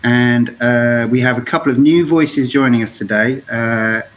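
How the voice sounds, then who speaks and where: A man's voice: mid-range voice, measured pace, faint music behind. A man hosting an online discussion roundtable, chatty and a little hesitant with fillers. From England. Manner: rhythmic, rhyming scripted intro then loose hesitant chat. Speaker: host.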